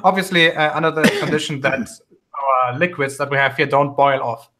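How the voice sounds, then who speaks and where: A man's voice: on the high side, brisk, bright, forceful tone. A man hosting a casual online voice chat, curious and matter-of-fact. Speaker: host.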